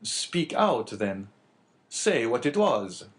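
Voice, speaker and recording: A courteous, composed priest from a classic storybook, acted by a male narrator. His phrasing is formal and measured, with a raised, expressive pitch. He probes politely. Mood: probing.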